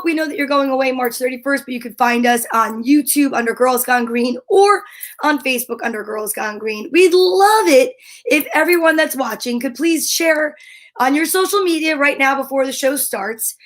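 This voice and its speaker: A woman with a high voice hosts a casual live stream. She is chatty and enthusiastic, talking informally to viewers and guests. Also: normal speed. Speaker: show host.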